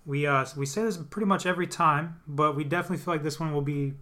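A young man co-hosts a casual podcast in a loose, easygoing way. He pauses, restarts and leans on fillers as he gathers his thoughts. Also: on the high side. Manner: relaxed, hesitant, lots of fillers. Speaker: podcast co-host. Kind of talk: casual chat.

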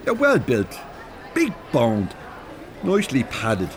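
Animated older Dublin chatterbox. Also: male voice, mid-range voice, slow, a faint crowd. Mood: musing, frank. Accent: Dublin Irish. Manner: rambling, familiar, needling. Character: chatty, nosy older working-class Dublin man, thin-skinned. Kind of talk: naturalistic radio drama acting. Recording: urban ambience, faint background noise. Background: shopping centre ambience.

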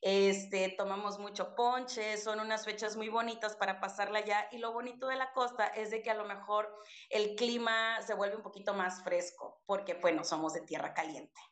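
A woman from Chiapas with a Mexican Spanish accent, telling stories in a chatty, warm way with many fillers. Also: medium pitch, fairly even intonation, moderate pace, reverberant. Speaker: podcast guest voice. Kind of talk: casual storytelling chat.

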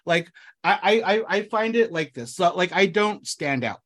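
Animated man telling stories, many restarts. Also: high-pitched, normal speed. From United States. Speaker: podcast co-host. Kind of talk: casual chat.

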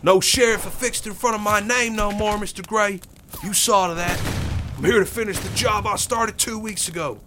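A man's voice: sits high, normal speed, background noise, pitched above the performer's own voice, close to an everyday voice. A man voicing a weary, stubborn former sheriff turned gunslinger, talking in a folksy western drawl. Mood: grim.

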